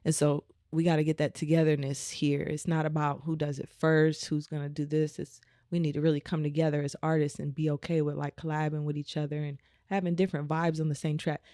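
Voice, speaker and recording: A woman from Omaha with a naturally raspy voice, talking casually as a guest in a podcast interview with a laid-back flow. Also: deep voice, little pitch movement, fairly quick, few pauses, mellow, dry recording, clean studio sound. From Omaha, United States. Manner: laid-back, frequent "you know" and laughs. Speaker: guest musician.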